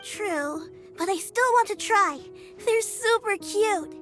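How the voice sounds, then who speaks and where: A cheerful little girl, very high sweet voice, bouncy and curious. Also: lively intonation, slow pace, soft background music, heavily acted. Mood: eager. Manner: bright, sweet and eager.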